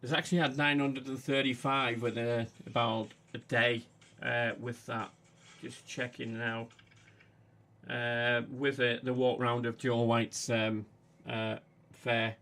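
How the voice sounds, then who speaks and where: A man with a Manchester accent chats casually on a livestream, his voice gravelly and fairly flat. He stops and starts, filling gaps with "uh" as he talks. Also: medium pitch. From England. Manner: halting, frequent "uh" fillers. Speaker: livestream host.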